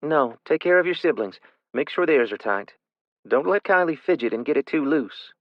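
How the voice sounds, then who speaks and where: A man's voice: a little high, lively intonation, normal speed, no echo, dull audio, voice pushed up, natural voice. A man voicing a worried mother giving firm, urgent instructions to her son. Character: worried mother of four, commanding.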